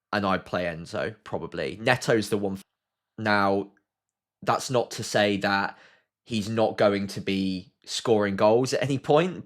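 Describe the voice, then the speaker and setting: A British man hosting a casual live stream, chatting and thinking aloud as he answers viewers, slightly sniffly with a cold. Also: on the low side.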